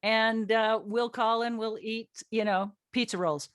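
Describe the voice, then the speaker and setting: A woman's voice: a little high, steady tone, measured pace, smooth, dry recording, clean studio sound. A woman hosting a casual chat with a cast, effusive and gushing as she talks. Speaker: host and writer of an audio drama.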